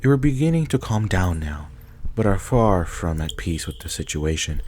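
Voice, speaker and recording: A man's voice: deep voice, sing-song, normal speed, darkish tone. A man reading scripted second-person story narration for an ASMR roleplay. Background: faint music.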